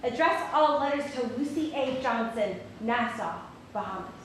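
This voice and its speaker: High-voiced actress as hopeful granddaughter, very echoey. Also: female voice, animated, normal speed, lightly acted. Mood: earnest, hopeful. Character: hopeful granddaughter of freed slaves, telling family history. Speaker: stage reader. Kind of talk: dramatic reading of historical letters. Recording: very echoey hall, faint noise.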